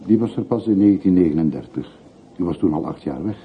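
A man's voice: sits low, animated, fast, a little hiss or hum. A man acting in a radio play as a reserved, dutiful companion, terse and polite, asking probing questions. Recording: muffled, phone-like old radio recording.

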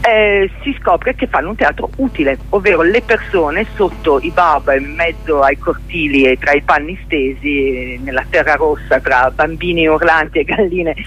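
A middle-aged woman being interviewed on the radio, explaining her work in a halting, reflective way and repeating words for emphasis. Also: low voice, fairly bright tone, muffled recording, faint music behind. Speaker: interview guest, theatre journalist and performer.

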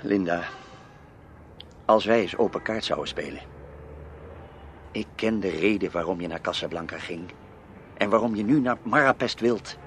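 A man's voice: deep voice, moderate pace, pauses often, fairly bright tone. An actor playing a self-assured, probing man in a radio play, dry and pointed in his questions. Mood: suspicious, probing. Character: Tim Valentine, probing, self-assured male acquaintance. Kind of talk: Dutch radio play acting. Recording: noisy old radio play recording.

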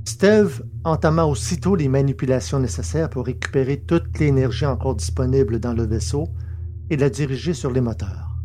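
A man's voice: medium pitch, mellow, slight background noise. A man reading scripted literary narration for a science fiction story, as its narrator.

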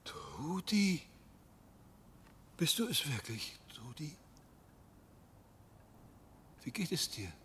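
A man's voice: a little high, wildly swooping pitch, very slow, long pauses, fairly bright tone, a little hiss or hum, exaggerated voice. A man playing a polite, bewildered man in his sixties in a radio play, hesitant and courteous. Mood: surprised, warm. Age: 60s. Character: polite, bewildered man nearing retirement, gently awkward. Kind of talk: German radio play acting.

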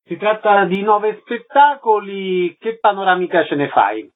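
Male radio host, slightly higher pitch, narrow lo-fi broadcast sound. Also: lively intonation, a little slow. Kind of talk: radio interview and announcements.

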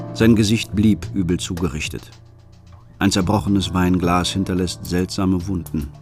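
Low-voiced, brooding middle-aged father. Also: a little mellow. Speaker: first-person narrator and lead actor. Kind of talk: radio drama narration and dialogue. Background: music.

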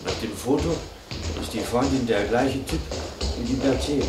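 An actor playing a matter-of-fact police assistant in a vintage radio crime play, reporting plainly and factually. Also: male voice, mid-range voice, moderate pace, over loud music, close to an everyday voice. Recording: very noisy, echoey, distant radio recording.

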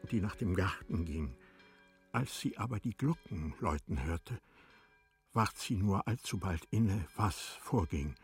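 A man giving a measured, solemn literary narration for a radio drama in a very husky voice. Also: on the low side. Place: dry studio.

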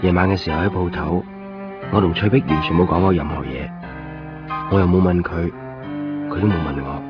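Casting: a man as introspective, lovelorn Hong Kong man, deep register, muffled radio-drama sound. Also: lightly acted. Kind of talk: intimate radio drama monologue. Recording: dull lo-fi recording under loud guitar.

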